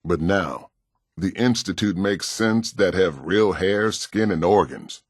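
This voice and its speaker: An actor playing a kindly, world-weary pastor in a video game, with an American accent and a gentle, folksy, plain-spoken way of talking. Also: male voice, sits low, slow pace, husky, no room sound, clean studio sound, exaggerated voice. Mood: somber.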